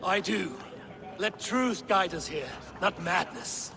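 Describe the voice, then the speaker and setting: An actor playing a stoic, principled Viking warrior in a video game, solemn and restrained. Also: male voice, high-pitched, slow pace, creaky, crowd noise, full character voice. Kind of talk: dramatic video game acting.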